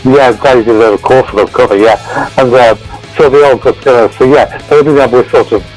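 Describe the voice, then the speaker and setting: Croaky, passionate author. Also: male voice, medium pitch, steady tone, a little fast, darkish tone, faint music behind, rough recording. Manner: passionate, repeats words. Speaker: guest author. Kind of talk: casual interview chat.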